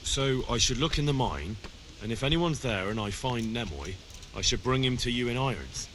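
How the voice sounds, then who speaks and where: A man's voice: mid-range voice, animated, moderate pace, fairly bright tone, slight background noise, natural voice. An actor playing a dutiful, inquisitive young medieval man-at-arms in a video game, plain-spoken and deferential. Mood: earnest, dutiful. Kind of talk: scripted video game dialogue. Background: music.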